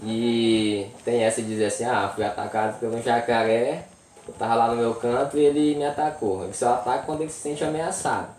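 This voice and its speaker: A woman's voice: rumbling low, fairly even intonation, fairly quick, darkish tone, echoey room, recorded from a distance, low-quality recording. A woman from a riverside community, speaking earnestly in an interview. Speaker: community resident.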